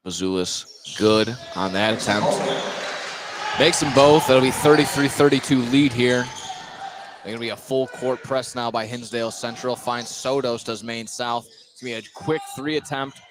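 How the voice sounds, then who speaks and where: A man's voice: medium pitch, moderate pace. Man calling basketball over noisy gym. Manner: clipped play-by-play phrasing. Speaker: play-by-play basketball announcer. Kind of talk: live sports radio commentary. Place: indoor basketball gymnasium. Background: gym noise and basketball bounces.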